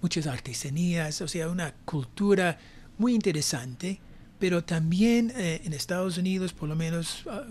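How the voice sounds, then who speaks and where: An older man from the United States with an American accent, a native English speaker, giving reflective, halting answers as an academic guest. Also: high voice, a little mellow, slight background noise. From United States (New Jersey). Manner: hesitant, reflective, frequent pauses. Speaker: academic guest, university professor. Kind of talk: conversational interview answers.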